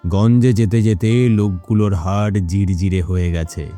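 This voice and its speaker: A man playing a greedy, scheming, cruel village boss in an animated moral story, speaking in a smug, gloating, deliberate manner. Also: low-pitched, faint music behind.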